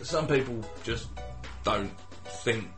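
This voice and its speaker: A man's voice: medium pitch, takes it slowly, fairly bright tone, soft background music. Dry Essex podcast man. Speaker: podcast co-host. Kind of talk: casual banter.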